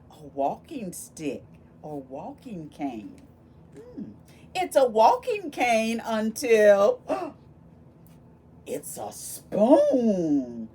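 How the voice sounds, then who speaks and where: Female children's story reader, very animated intonation, takes her time, addresses kids directly with exclamations, faint noise behind. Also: mid-range voice. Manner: animated, exclaiming, talks directly to young listeners. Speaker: volunteer children's book reader. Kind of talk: expressive picture-book read-aloud with questions and asides to children. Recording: steady background noise.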